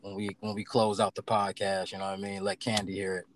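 A man's voice: lowish voice, little pitch movement, quick pace. A man hosting a podcast in casual interview chat, laid-back and full of fillers. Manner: laid-back, many fillers and "you know what I'm saying". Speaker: podcast host.

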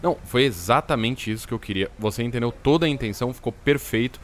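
A man's voice: mid-range voice, quick pace, slight background noise, natural voice. A Brazilian man playing a friendly, appreciative businessman in an audio drama. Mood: grateful. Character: appreciative, friendly businessman, adult male client.

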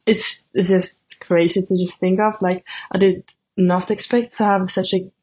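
A woman's voice: medium pitch, level tone, measured pace, a little mellow, slightly rough. A woman in her twenties with a Swedish accent, Swedish native speaker, answering interview questions casually and hesitantly, full of fillers. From Sweden. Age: 20s. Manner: hesitant, many fillers like "like" and "I don't know". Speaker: athlete guest.

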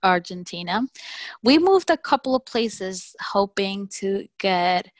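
A woman's voice: mid-range voice, lively intonation, unhurried, no room sound. A woman in her thirties from Peru, whose first language is Spanish, answering interview questions thoughtfully with hesitations and restarts. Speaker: podcast guest.

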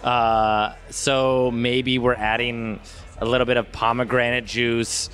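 A man's voice: mid-range voice, flat delivery, takes it slowly, a bit bright. A man co-hosting a podcast, chatting off the cuff and thinking aloud with hesitant, repeated phrases. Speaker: podcast co-host and bartender. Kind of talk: casual unscripted podcast chat.

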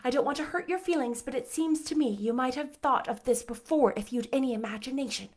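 A woman's voice: sits high, brisk, voice pushed up. A woman doing an eager, chatty young girl. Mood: brisk, confident. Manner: earnest, bookish, bright and chatty. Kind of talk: audiobook character voice.

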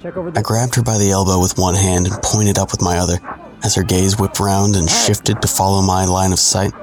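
A man's voice: sits low, normal speed, lightly acted. An actor narrating an audio drama in the first person as a wry, sardonic man recalling his misadventures. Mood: tense, ominous.

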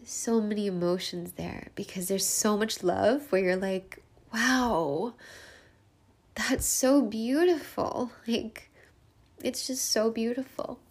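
A woman's voice: fairly high pitch, takes it slowly. A young woman, Hungarian by first language, hosting a podcast, talking openly and tearfully about heartbreak and grief, laughing through tears and using many fillers. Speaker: podcast host. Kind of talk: emotional personal monologue.

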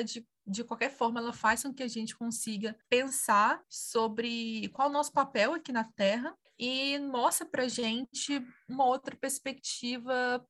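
A woman's voice: on the high side, fairly bright tone. A woman who is an astronomer, a guest on a podcast, explaining ideas casually and thinking aloud with small restarts.

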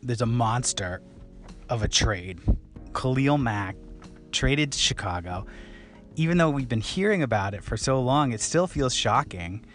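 A man's voice: mid-range voice, lively intonation, measured pace, a bit bright, faint music behind. A man hosting a casual podcast interview, playful and wisecracking. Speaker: podcast host.

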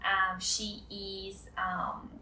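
A woman's voice: mid-range voice, drawn-out words. Young woman, roomy distant sound. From Costa Rica. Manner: excited, chatty. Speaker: interview guest, first-time mother. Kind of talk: casual personal storytelling. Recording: roomy, distant mic, faint noise.

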